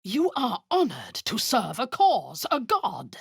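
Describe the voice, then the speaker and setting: An actress voicing a ruthless drow woman commander who leads zealous raids, speaking in a cold, commanding voice with a husky edge that often sinks into a whisper. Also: on the high side, huge pitch swings, takes it slowly, no echo, studio quality, exaggerated voice. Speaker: game character. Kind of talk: video game voice lines.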